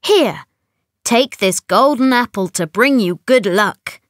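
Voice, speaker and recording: Woman putting on an expressive old fairy-tale hag. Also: fairly high pitch, slow, no room sound, clean studio sound. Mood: helpful. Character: old hag sisters on mountaintops, helpful fairy-tale crones.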